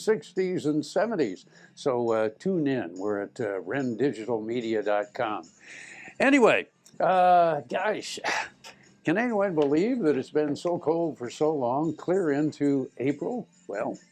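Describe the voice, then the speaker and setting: A man's voice: medium pitch, darkish tone. An American man chatting casually as a show host, in a folksy, conversational way. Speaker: TV show host and internet radio DJ. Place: radio studio.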